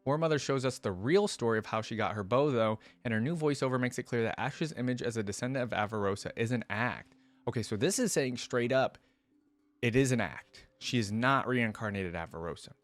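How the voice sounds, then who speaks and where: A man's voice: mid-range voice, brisk, dry recording. Casual, curious man reacting, in a dry-sounding space. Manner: informal, curious, frequent "like" and "kinda". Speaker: reaction video host. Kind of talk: casual reaction commentary, reading aloud and commenting.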